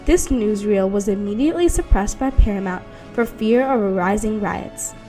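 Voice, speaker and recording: A woman's voice: mid-range voice, animated, normal speed, dark tone. A woman reading scripted documentary narration. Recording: music under the voice. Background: background music.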